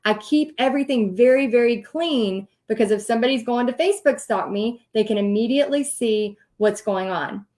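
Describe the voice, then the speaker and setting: A woman's voice: a little high, moderate pace, hardly any pauses, darkish tone. A middle-aged woman with a Texan accent, talking straight to her viewers in a chatty coaching livestream, laughing often. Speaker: host teaching paint party business. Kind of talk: casual livestream coaching talk.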